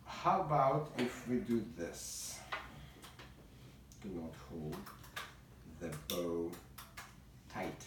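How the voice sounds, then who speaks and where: Slow, patient Russian-accented man teaching. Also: sits low, very animated. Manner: patient, coaxing, slightly broken English. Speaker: violin teacher. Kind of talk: private music lesson. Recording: echoey, distant and noisy room recording.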